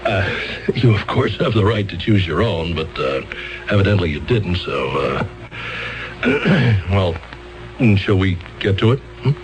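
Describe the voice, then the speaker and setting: An actor playing a fumbling, wordy court-appointed lawyer who hesitates, stammers and clears his throat, in a 1970s radio drama. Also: sits low, very animated, measured pace, over music, rough recording.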